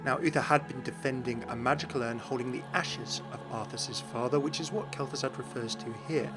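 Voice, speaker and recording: A man's voice: mid-range voice, a little fast, fairly bright tone, faint music behind. A man narrating video game lore as the host of a gaming video, in a scripted, explanatory style.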